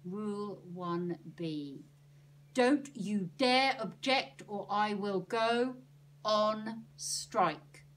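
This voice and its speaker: A woman's voice: mid-range voice, sing-song, unhurried, fairly bright tone, poor audio. A woman voicing a bossy, no-nonsense aunt with a firm, commanding manner. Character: bossy, no-nonsense aunt who lays down rules.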